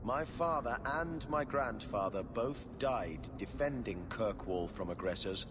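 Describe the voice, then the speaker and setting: A proud, resolute nobleman from a fantasy video game, acted by a man. He speaks slowly, with grave formality, over clear background noise. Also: mid-range voice, lively intonation, natural voice. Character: proud, resolute nobleman pledging his sword. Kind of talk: video game character acting.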